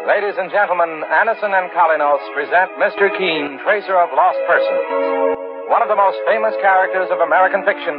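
A man's voice: high voice, a little fast. A man announcing and narrating a 1940s radio drama in a formal, dramatic manner. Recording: muffled 1940s radio broadcast. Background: loud music.

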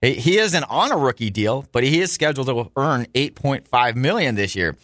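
A man speaks as a sports talk radio host in a dry studio sound with no room to it. His pitch sits in the middle for a male voice as he delivers commentary. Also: lively intonation, moderate pace, a bit bright, no room sound.

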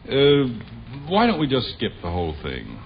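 A man's voice: medium pitch, wildly swooping pitch, moderate pace, creaky. An actor playing a wry, put-upon middle-aged father in a 1950s radio sitcom, sarcastic and exasperated. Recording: dull, noisy vintage radio broadcast.

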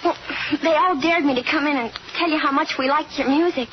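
A woman's voice: sits high, fairly quick, natural voice. A woman playing a shy but eager teenage girl fan in a 1950s radio drama. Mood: shy, admiring. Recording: muffled, noisy old radio recording.

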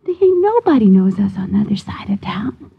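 An actress playing a timid, scheming wife who stammers and repeats herself in uneducated speech, in a 1950s radio drama. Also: female voice, medium pitch, sing-song, a little fast, gravelly, breathy edge, heavily acted. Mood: hushed scheming. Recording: dull, muffled vintage radio recording.

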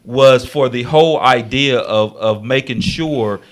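A man in a loose talk radio discussion at a mid-range pitch. He circles back on his words, repeats phrases, and keeps asking whether people follow him. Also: unhurried. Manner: rambling and repetitive, keeps asking "you see what I'm saying".

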